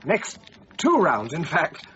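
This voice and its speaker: A courteous, inquisitive visitor in a radio play who is a little stammering and keen to hear a tale. His voice is quite high and animated. It has a slightly muffled, older sound. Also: unhurried, slight background noise, heavily acted. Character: polite, curious visiting stranger. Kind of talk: BBC radio play acting. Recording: slightly dull radio broadcast.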